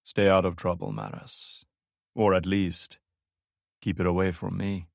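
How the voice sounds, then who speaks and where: A wounded soldier mutters a weary parting word in a quiet, low voice, as a male narrator voices him. The audiobook read is dry and close. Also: sing-song, measured pace, vocal fry, dry recording, full character voice.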